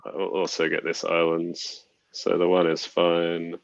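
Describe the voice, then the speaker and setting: Guy hosting a board game playthrough, lowish voice, kinda dull dry audio, chatty. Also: completely flat delivery, measured pace, creaky, no room sound. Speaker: host of a board game play-along. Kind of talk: casual game commentary and chat.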